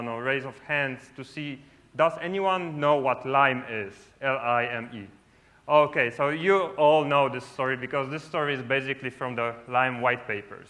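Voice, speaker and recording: A man's voice: mid-range voice, bright, forceful tone. A man from Poland giving a casual conference talk on stage, often tagging sentences with "right?". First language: Polish. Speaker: conference speaker. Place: large lit stage.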